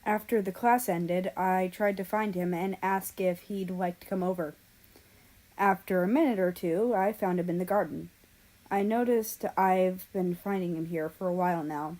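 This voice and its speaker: A woman narrating fan fiction as an amateur audiobook reader, in a soft voice. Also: on the low side, fairly even intonation, moderate pace, rough recording. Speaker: story reader.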